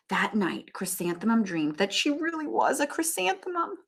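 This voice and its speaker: A woman reading a picture book aloud in an expressive, animated teacher's manner, with asides to young listeners. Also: medium pitch, unhurried, slightly rough. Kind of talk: expressive children's story read-aloud.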